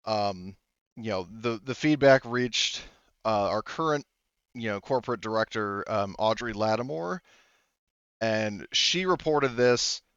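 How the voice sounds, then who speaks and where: Man with a clear, low-pitched voice. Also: a bit bright, dry recording, highs cut off. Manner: casual, halting, improvising as he goes. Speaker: game master. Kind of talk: improvised narration of a tabletop roleplaying game.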